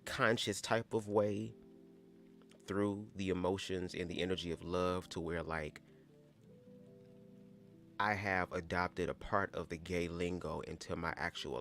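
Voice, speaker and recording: A young man hosting a casual livestream, with a calm voice and chatty, sassy asides to his viewers. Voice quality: calm low voice. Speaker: livestream host. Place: home, squeaky old chair.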